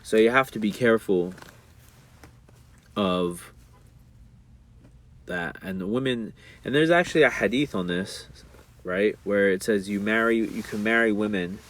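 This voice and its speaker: A young man talking casually to his viewers about marriage and faith, reading a passage aloud and breaking into slangy, animated asides. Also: lowish voice, a little slow, long pauses. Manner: animated, rises sharply when worked up. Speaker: streamer talking about religion and relationships. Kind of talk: casual monologue.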